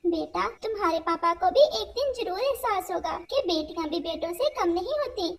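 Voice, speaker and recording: A woman voicing a patient, sad mother bird in a cartoon, gentle and pleading. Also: extremely high pitch, expressive, normal speed.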